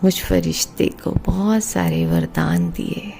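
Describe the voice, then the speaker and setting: A woman leading a guided meditation in a hushed, unhurried way, with long pauses between phrases. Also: on the low side, very animated, vocal fry. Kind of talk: slow guided meditation commentary. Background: meditation music.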